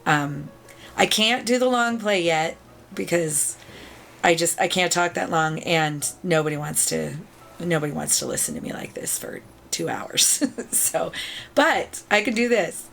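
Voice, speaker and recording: A middle-aged woman hosting a video game channel, chatting casually to viewers with a raspy, sore throat after being ill. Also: lowish voice, expressive, unhurried, fairly bright tone, slight background noise. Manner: chatty, upbeat, thanks viewers often.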